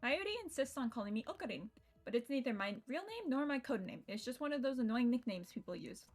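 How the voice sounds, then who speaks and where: A woman's voice: sits high, animated, fast talker. A woman reading aloud the lines of a grandiose young man who calls himself a mad scientist, in a voice close to her own. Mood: wistful.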